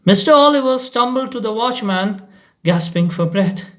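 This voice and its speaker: A man reading a short story aloud in a dramatic, deliberate storytelling manner. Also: high voice, lively intonation, fairly bright tone.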